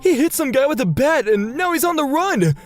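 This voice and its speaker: Man voicing a high, excitable teenage schoolboy. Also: brisk, faint music behind. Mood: excited. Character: excitable teenage schoolboy, eager would-be hero. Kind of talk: manga dub voice acting.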